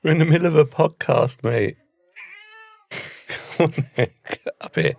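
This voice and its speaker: A man's voice: on the high side, normal speed, creaky, darkish tone, no room sound. A man with a British accent, talking in a wry, conversational way as a co-host on a casual podcast. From Britain.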